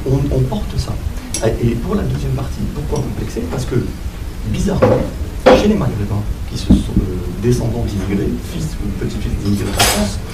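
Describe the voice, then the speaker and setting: A man from Algeria, a guest in a spontaneous debate, arguing his points step by step. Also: medium pitch. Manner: argumentative, explains step by step. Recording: echoey room, distant mic, loud background noise.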